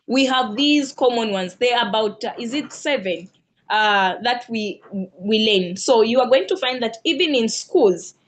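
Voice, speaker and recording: A woman from Zambia with a Zambian accent, a guest speaker explaining at length in an online mentorship session, repeating herself and using many fillers. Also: a little high. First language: Lozi.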